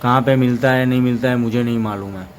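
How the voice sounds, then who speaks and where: A man talks through a gadget demo in a flat, even tone, rambling from point to point. His voice is mid-pitched and sits over faint background ambience. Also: quick pace, a little hiss or hum. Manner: rambling, repeats "plus" between points. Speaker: motorcycle vlogger. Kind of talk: casual product demo.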